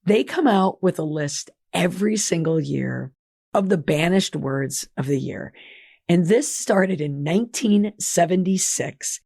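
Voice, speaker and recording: Hoarse-voiced woman hosting a podcast. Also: on the low side, lively intonation, a little slow, darkish tone, no echo, clean studio sound. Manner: chatty, reads list entries aloud with asides. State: hoarse, losing her voice. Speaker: podcast host. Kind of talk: solo podcast monologue.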